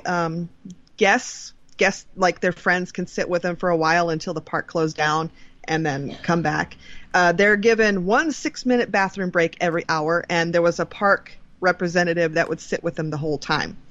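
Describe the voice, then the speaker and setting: A woman's voice: lowish voice, no room sound, highs cut off. A woman co-hosting a podcast, casually chatting and reading out entertainment news. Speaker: podcast co-host.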